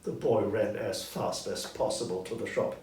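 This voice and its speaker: A man reading a story as narrator, in an expressive, deliberate scripted style. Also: on the low side. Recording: roomy echo, distant mic, faint noise.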